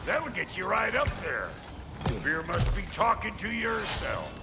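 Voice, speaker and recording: Gravelly crabby dwarf blacksmith from a game, swoops all over the place, unhurried, cusses in a folksy way. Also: male voice, high-pitched, wildly swooping pitch, over loud music, exaggerated voice. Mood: upbeat, coaching. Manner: folksy, blunt, colorful cussing. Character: gruff, foul-mouthed, cantankerous little dwarf blacksmith, middle-aged man. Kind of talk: video game character acting.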